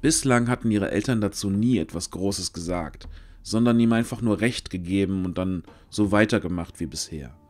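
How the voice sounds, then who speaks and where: A man narrating a scripted fantasy audio drama in a measured storyteller's delivery with deliberate pauses. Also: sits low, a little mellow. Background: background music.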